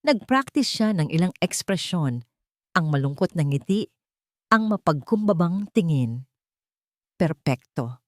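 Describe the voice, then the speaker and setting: A woman's voice: fairly low pitch, very animated, a little slow, no room sound, clean studio sound. A woman narrating a scripted story in a dramatic storytelling style.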